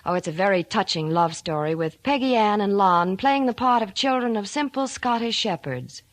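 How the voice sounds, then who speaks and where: A woman's voice: mid-range voice, lively intonation, normal speed, no echo. A woman performing a scripted sponsor dialogue on a 1940s radio program, chatty and enthusiastic. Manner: chatty and enthusiastic, laughs lightly.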